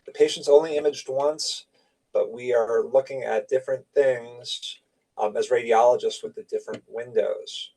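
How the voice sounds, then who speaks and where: A man's voice: medium pitch, steady tone, normal speed, darkish tone, distant mic, rough recording. A man giving an informal medical lecture, explaining slides in clipped, conversational phrases. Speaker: radiology lecturer.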